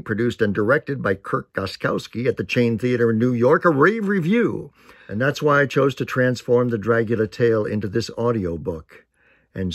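Older guy talking to camera, earnest n measured, prepared statement, pretty dry sound. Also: mid-range voice, no echo. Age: older, 60s or 70s. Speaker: narrator and author. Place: indoors, small attic-like room with wooden sloped ceiling.

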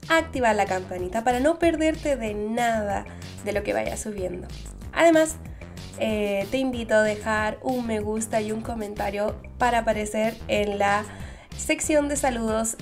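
A woman with a Latin American accent giving an upbeat channel host's video outro. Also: fairly high pitch. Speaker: channel host.

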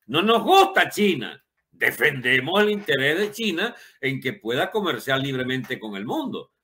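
A man's voice: mid-range voice, big pitch swings, moderate pace, sharp tone. A man in his seventies giving an opinionated, unscripted political monologue in an emphatic way, with rhetorical questions and colourful wording. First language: Spanish. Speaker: political commentator.

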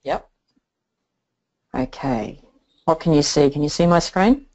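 A woman's voice: sits low, slow pace, a little mellow. An older woman from New Zealand with a New Zealand accent, a guest expert chatting in a webinar with a self-mocking humor. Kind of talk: webinar talk. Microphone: video call. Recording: dull lo-fi call audio.

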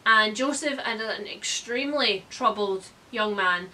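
Bright-voiced woman chatting about books. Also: medium pitch, a little slow, a little hiss or hum, low-quality recording. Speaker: book vlogger. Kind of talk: chatty book review. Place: indoor room with bookshelves.

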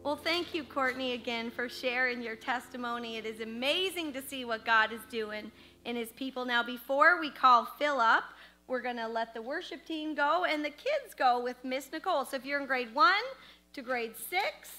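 A woman's voice: sits high, moderate pace, pushed and bright. A middle-aged woman leading a church service from a pulpit, praying fervently and then making friendly announcements. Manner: fervent in prayer, chatty and laughing in announcements. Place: church sanctuary stage, at a wooden pulpit. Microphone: handheld microphone.

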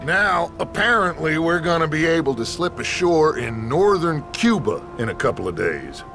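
Gravelly, commanding American outlaw leader. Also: male voice, a little high, big pitch swings, over music. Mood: assured, scheming. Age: middle-aged. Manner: deliberate, grandiose. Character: commanding, theatrical middle-aged male outlaw gang leader. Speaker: game character actor. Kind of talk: dramatic video game cutscene acting.

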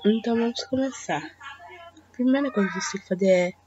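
A teenage girl from Brazil with a Brazilian Portuguese accent, hoarse from a cold, chatting hesitantly through a drawing tutorial at home. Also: a little high, brisk, over music. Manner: chatty, hesitant, talks to viewers as "gente". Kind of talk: casual screen-recorded tutorial. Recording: dull lo-fi mic with faint hiss.

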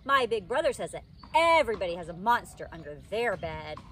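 A woman's voice: medium pitch, very animated, brisk, fairly bright tone. A woman reading the part of a teasing, know-it-all young schoolboy in a storybook, taunting and insistent. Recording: outdoor background noise.

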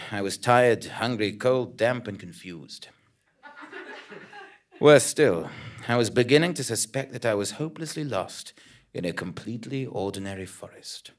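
A very animated male voice of an actor as an earnest investigative journalist. He narrates a comic stage audio drama in a pompous, wordy way, with big dramatic pauses and lively swings of pitch. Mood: weary, dramatic. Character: earnest, melodramatic male investigative journalist telling his adventure. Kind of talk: theatrical scripted narration, live on stage.

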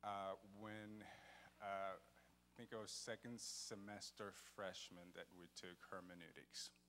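A middle-aged man giving reflective advice as a panel guest on a chapel stage, deliberate, with emphatic pauses, into a handheld mic. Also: low-pitched, level tone, sharp tone, slight background noise. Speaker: alumnus panel guest, nurse practitioner. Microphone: handheld microphone.

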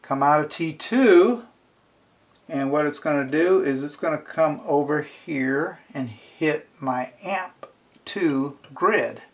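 A man's voice: fairly high pitch, takes it slowly. A middle-aged man giving a casual hands-on tutorial, informally thinking aloud as he talks himself through each step. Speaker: instructor. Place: indoors at a wooden workbench.

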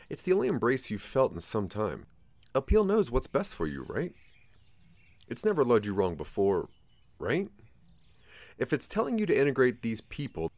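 A man's voice: lowish voice, a little mellow, dry recording. A man narrating a scripted second-person audio drama in a theatrical, ominous storytelling manner.

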